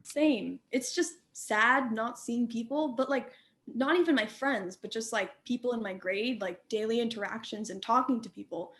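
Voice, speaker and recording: A woman's voice: on the high side, normal speed, a bit bright. A woman playing a sociable, wistful schoolgirl talking casually in a documentary play. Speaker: student. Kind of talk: casual documentary theater monologue.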